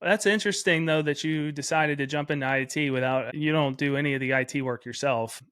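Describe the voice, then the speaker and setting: A man's voice: medium pitch, fairly bright tone, dry recording, clean studio sound. A man hosting a podcast, asking loose, rambling questions with fillers. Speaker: podcast host. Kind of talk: interview questions.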